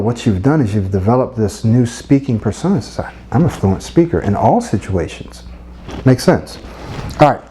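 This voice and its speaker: Husky-voiced man coaching, low and mellow. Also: slight background noise. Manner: earnest, repetitive, encouraging coaching talk. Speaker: speech coach. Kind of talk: motivational video lesson.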